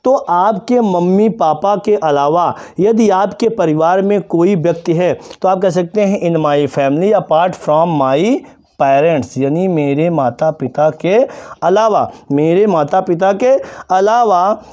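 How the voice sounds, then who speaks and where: A male teacher giving a classroom lesson to children, asking questions and answering them himself, and slowly spelling out example phrases. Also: high-pitched, a little mellow. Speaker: English teacher.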